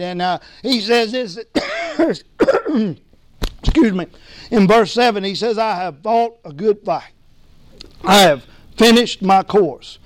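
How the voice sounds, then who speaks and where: A man preaching a sermon as pastor, hoarse from losing his voice, emphatic and calling for responses. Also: high-pitched, no room sound. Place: church sanctuary.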